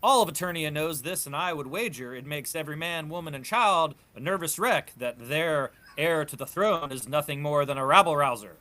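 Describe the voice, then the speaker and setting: A man's voice: on the high side, expressive, brisk, hardly any pauses, bright, forceful tone, no echo. A man playing a stern, exasperated older soldier and mentor, scolding with formal diction in a comic book table read.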